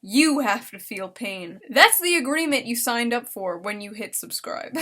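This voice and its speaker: High, bright, sarcastic woman. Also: a little slow. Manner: mocking, expressive, swears freely. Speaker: online commentary host. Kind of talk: casual, sarcastic reaction commentary.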